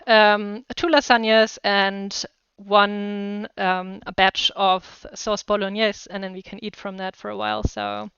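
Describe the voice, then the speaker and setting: A woman with a German accent hosts a casual live cooking session. Her voice is exceptionally clear and smooth at an average pitch. She talks in a chatty, enthusiastic way, recorded dry with a slightly muffled top end. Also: fairly bright tone, dry recording. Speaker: cooking livestream host. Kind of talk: casual chat to live viewers while cooking.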